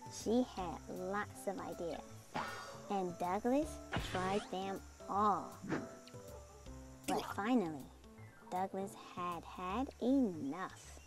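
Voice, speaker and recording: Female storybook narrator, medium pitch, unhurried, expressive read-aloud over background music. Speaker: children's book reader. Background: music under the voice.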